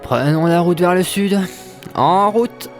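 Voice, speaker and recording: A man's voice: sits high, takes it slowly. A man playing an earnest, protective mercenary leader in an amateur audio drama, casual and sincere. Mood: earnest, resolute. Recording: faint music behind the voice.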